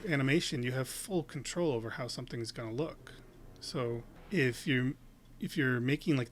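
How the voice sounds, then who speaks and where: A man hosting a casual reaction video, rambling with lots of fillers and restarts. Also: medium pitch.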